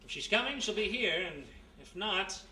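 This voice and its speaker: An actor playing a gruff, wry former thief turned private detective in a 1930s-style radio mystery. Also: male voice, high-pitched, expressive, normal speed, pushed and bright, full character voice. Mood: irritated. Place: live performance in a historic house. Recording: roomy live stage recording, distant mic, faint noise.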